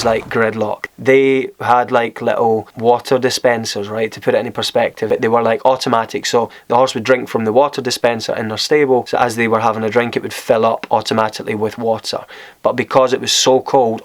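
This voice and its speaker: A young Scottish man vlogging, telling a personal story to camera in a candid, rambling way. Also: mid-range voice. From Scotland. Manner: rambling, candid, many fillers and restarts.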